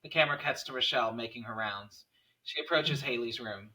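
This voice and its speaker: A man's voice: mid-range voice, a little fast, sharp tone, low-quality recording. A man reading screenplay stage directions as the narrator of a table read.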